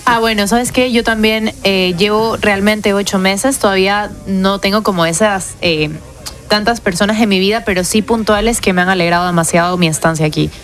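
Young woman, warm and grateful. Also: mid-range voice, fairly even intonation, moderate pace, faint music behind. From Ecuador. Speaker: radio contributor.

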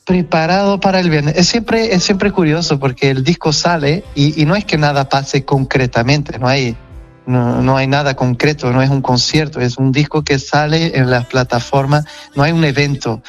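A man from Portugal with a Portuguese accent, speaking as a guest musician in a relaxed interview, talking frankly and reflectively. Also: on the high side, normal speed, dull audio, faint music behind. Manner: frank, reflective, self-correcting. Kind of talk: casual interview chat.

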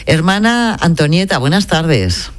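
A woman anchoring a radio news bulletin, reading in a measured, formal way. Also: on the low side, big pitch swings.

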